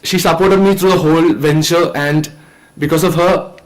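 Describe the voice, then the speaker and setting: Indian guy about 19, kinda high voice, earnest stumbling thank-you speech. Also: steady tone, low-quality recording. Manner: earnest, halting. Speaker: student filmmaker. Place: event stage at a film festival.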